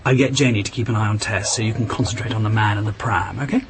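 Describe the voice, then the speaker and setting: An actor playing an earnest, patronizing British intelligence officer and husband in a radio drama, urgent and persuasive. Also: male voice, on the low side, quick pace, slight background noise, lightly acted. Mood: coaxing. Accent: British English.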